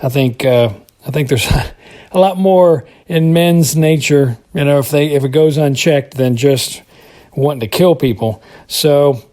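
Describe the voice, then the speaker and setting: A man's voice: fairly high pitch, measured pace, dark tone. An American man giving casual monologue commentary, rambling and hesitant as he thinks aloud. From United States. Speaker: podcast contributor reviewing a TV episode.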